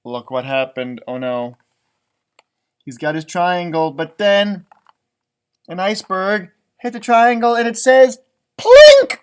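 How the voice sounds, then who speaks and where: A man reading a children's picture book aloud in an animated way, stretching out read phrases and adding chatty, exclaiming asides. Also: high-pitched, clear, resonant tone. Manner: animated, exclaiming, drawn-out words when reading. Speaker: storybook reader, elementary music teacher.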